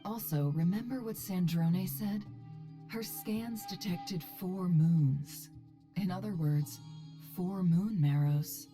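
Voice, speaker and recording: An actress playing a calm, wise woman in a video game, speaking in a measured, reflective way. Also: on the low side, over music. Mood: composed.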